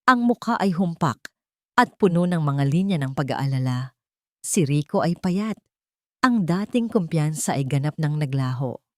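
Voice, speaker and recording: A woman's voice: low voice, lively intonation, measured pace, dry recording, clean studio sound. A Filipino woman reading a scripted dramatic story as its narrator.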